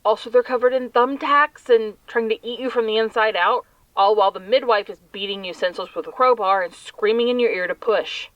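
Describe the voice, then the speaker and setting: A woman's voice: fairly high pitch, brisk, few pauses, no echo, distant mic, dull audio, lightly acted. A woman playing a traumatised, bitter doctor in an audio drama, dry and emphatic. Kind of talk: scripted audio drama testimonial.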